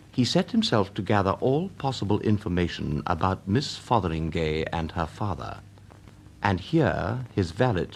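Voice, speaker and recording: Low, husky English narrator. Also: male voice, full character voice. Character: urbane, wry storyteller of a Victorian novel. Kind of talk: scripted literary narration for radio drama.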